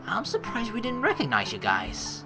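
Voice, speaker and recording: A woman hosting a let's play, reading game narration aloud and adding casual, expressive, joking commentary. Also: mid-range voice, moderate pace. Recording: soft game music behind. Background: game music.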